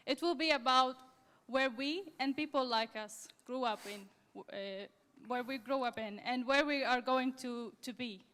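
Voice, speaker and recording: Young Syrian woman, second-language English, high and clear, halting storytelling, big reverberant hall. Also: fairly bright tone. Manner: halting, slightly non-native phrasing. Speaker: conference speaker. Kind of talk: personal story told on stage. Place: large conference hall.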